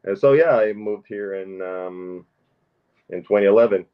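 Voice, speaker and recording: A man's voice: on the low side, unhurried, clear, resonant tone. Rambling Norwegian-born man, dull audio. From Norway. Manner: rambling, many fillers and restarts. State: short on sleep. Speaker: NFT artist guest. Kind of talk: casual podcast chat. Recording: dull lo-fi sound.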